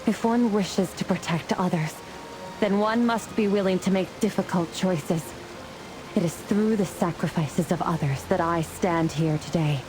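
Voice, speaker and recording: A solemn celestial warrior woman voiced by an actress speaks in a slightly low, formal, declamatory voice. She sounds resolute, as a fantasy game heroine does. Music plays behind her with rain. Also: a little slow, close to an everyday voice, rough recording. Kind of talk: scripted fantasy game voice acting.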